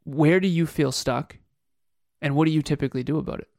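Podcast guy thinking out loud, dry close sound, restarts a lot. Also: on the high side, a little fast, creaky, dry recording. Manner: musing, thinks aloud with restarts. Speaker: podcast co-host. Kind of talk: casual podcast chat.

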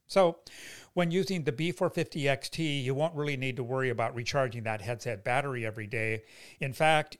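A middle-aged American man speaks as a podcast host at a medium pitch. His delivery is conversational and explanatory, with a folksy sales touch, as if walking a customer through a product. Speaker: podcast host, company founder.

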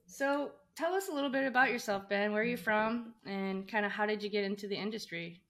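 A woman hosting a podcast interview, chatty and quick to laugh as she asks her guest about himself. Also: medium pitch, a bit bright. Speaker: podcast host. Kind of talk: casual interview.

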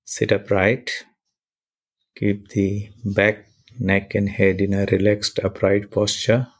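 A man leading a guided meditation and prayer in measured, deliberate short phrases with pauses between them. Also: lowish voice, monotone.

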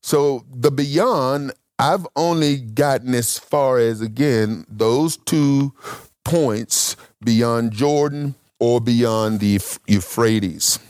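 A male preacher working through a Bible question in front of his listeners, speaking in a slow, unhurried way. He stops often and restarts in short phrases, as if thinking aloud. The sound is close and dry, with no room around it. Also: medium pitch, darkish tone, no echo. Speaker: preacher teaching a congregation. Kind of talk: Bible teaching talk.

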